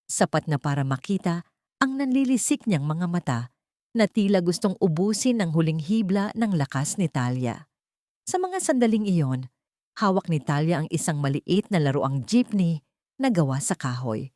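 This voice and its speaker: Woman reading a dramatic story, kinda low voice, really animated, super dry recording. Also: no echo. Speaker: narrator. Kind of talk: dramatic scripted story narration.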